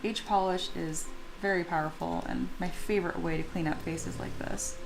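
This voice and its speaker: A woman's voice: fairly low pitch, steady tone, moderate pace, creaky, over music. A woman with a slightly scratchy, sleepy voice, chatting informally and thinking aloud as she teaches a livestream tutorial. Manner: informal, chatty, talks to herself and viewers. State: sleepy, voice not yet warmed up. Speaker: 3D sculpting teacher and livestream host.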